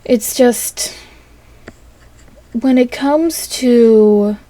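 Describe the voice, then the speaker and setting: A woman talks slowly in a soft-edged, mellow voice at a middling pitch. She rambles casually as if keeping an audio log, halting and hesitating between phrases. The sound is dry, with very little room to it. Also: no echo. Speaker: channel host recording an audio log.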